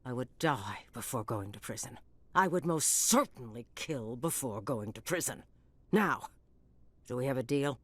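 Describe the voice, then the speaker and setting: An actress playing a cool, calculating female crime syndicate boss in a game, formal and measured, with veiled menace. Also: rumbling low, expressive, no room sound, full character voice. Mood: cool, self-assured. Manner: formal, measured, smooth-talking and veiled in threat.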